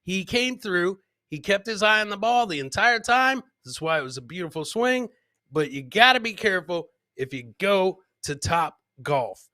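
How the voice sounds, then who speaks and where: A man's voice: high voice, lively intonation, moderate pace, sharp tone, no room sound, clean studio sound. A man hosting a casual comedy livestream, talking in a hyped, slangy way and repeating phrases for emphasis while getting over a cold. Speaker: podcast host.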